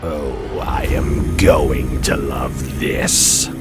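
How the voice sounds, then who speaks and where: A man voicing a cruel, gloating dragon with a slow, menacing hiss, in an audiobook production with music. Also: extremely low pitch, steady tone, voice pushed down, heavily acted. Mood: gloating menace. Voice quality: hissing whisper. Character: great metallic-clawed dragon, cruel, gloating villain. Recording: music behind the voice.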